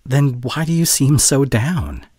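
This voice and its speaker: A man playing a caring, worried boyfriend in an intimate audio roleplay, with a soft, breathy voice and tender, halting delivery close to the microphone. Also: medium pitch, big pitch swings, no echo. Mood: concerned, tender. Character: caring, worried young boyfriend, gentle and earnest. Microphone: close studio condenser microphone.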